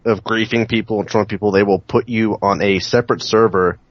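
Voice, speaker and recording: A man's voice: on the low side, steady tone, fairly quick, no echo. A young man co-hosting a podcast, talking hesitantly with lots of fillers in casual chat. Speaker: podcast co-host. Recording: dry, dull lo-fi home recording.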